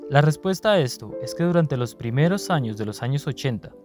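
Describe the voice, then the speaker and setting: A man with a Colombian accent narrates a documentary from a script. His voice sits at a middle pitch, with faint music underneath. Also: animated, a little fast.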